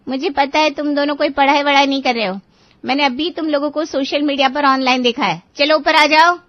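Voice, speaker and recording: A woman's voice: sits high, steady tone, fairly quick, no echo, dull audio, natural voice. A woman playing a young, knowing and firm sister-in-law who schemes in a cartoon family story.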